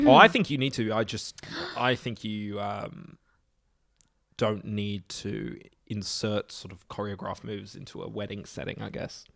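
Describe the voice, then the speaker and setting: A man's voice: fairly low pitch, unhurried, vocal fry, a bit bright. Sardonic man riffing in casual podcast chat. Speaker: podcast guest.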